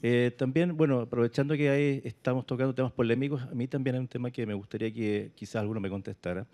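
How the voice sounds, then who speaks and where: A man's voice: medium pitch, steady tone, fast talker, mellow. A man from Chile with a Chilean accent, putting an unscripted question to a panel from the audience. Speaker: audience member asking a question.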